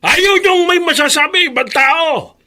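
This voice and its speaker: Casting: ailing old father, high sharp husky voice, stubborn and bitter, swinging delivery, radio drama. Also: unhurried, heavily acted. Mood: bitter, angry. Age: older. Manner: irritable, scolding.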